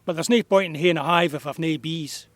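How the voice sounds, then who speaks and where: An actor playing a stubborn, wistful old beekeeper with a Scottish accent and a folksy, hesitant way of talking in an audio drama. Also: male voice, fairly high pitch, fairly quick, a bit bright, dry recording, natural voice. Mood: forlorn. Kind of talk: naturalistic audio drama dialogue.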